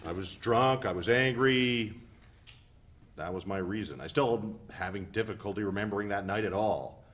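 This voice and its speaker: A man in a dramatic play reading, playing a hurt, defensive partner who speaks in a guarded, deliberate way. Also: fairly low pitch, fairly bright tone, a little hiss or hum, natural voice. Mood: accusing, defensive.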